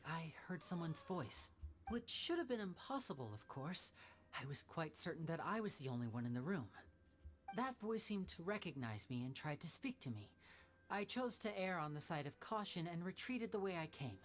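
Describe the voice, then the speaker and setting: A man's voice: sits high, a bit bright. An actor playing a suave young male stage magician in a video game, earnestly explaining himself.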